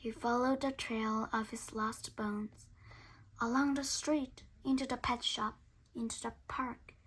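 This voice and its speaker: A woman with a high, bright voice reads a picture book aloud for children. She takes her time over the phrases and performs them dramatically, dropping into hushed whispers and rising into emphatic bursts. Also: steady tone, unhurried, slightly rough, low-quality recording. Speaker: storyteller reading aloud.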